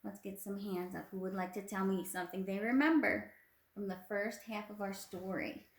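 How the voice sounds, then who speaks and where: A woman's voice: mid-range voice, steady tone, moderate pace. A young woman teacher leading a children's read-aloud lesson, encouraging and prompting with simple questions, recorded on a phone. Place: small indoor room at home. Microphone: phone at arm's length. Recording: roomy, echoey phone recording.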